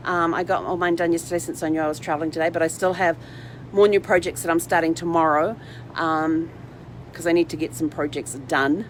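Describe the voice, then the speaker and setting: A woman's voice: sits low, slight background noise, low-quality recording. A woman talking casually and informally in a chatty, rambling livestream vlog style. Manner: chatty, informal, talks to her dog in asides. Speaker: vlog host. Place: inside a parked RV.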